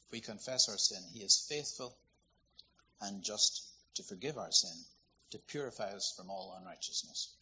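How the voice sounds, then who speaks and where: A man from Ireland, preaching a sermon in a lively, conversational, storytelling way. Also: lowish voice, normal speed, husky, muffled recording. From Northern Ireland.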